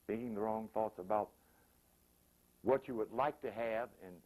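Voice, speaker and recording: A man's voice: lowish voice, normal speed, darkish tone, poor audio. A man giving an informal, sermon-like motivational talk, repeating phrases emphatically and acting out examples. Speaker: motivational spiritual speaker.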